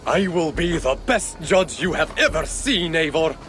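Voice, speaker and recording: An actor playing a boisterous, good-natured young Viking villager in a video game, earnest and animated. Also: male voice, a little high, measured pace. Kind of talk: dramatic video game acting.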